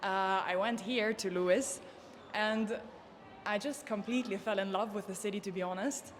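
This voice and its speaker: Female student interviewee, twenties, Norwegian accent, medium pitch, light ambient noise. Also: fairly even intonation, normal speed, big-room sound. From Norway. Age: 20s. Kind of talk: informal interview answers.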